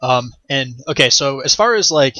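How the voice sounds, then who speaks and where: A man's voice: medium pitch, lively intonation, normal speed, pushed and bright, no echo, lo-fi sound. A man interviewing someone casually, asking informal, loosely phrased questions.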